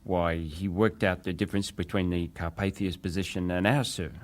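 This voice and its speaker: An actor playing a ship's wireless operator, a respectful but defensive witness giving clipped testimony in a dramatised hearing. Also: male voice, sits low, steady tone, moderate pace, natural voice. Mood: respectful, matter-of-fact. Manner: clipped, respectful, matter-of-fact.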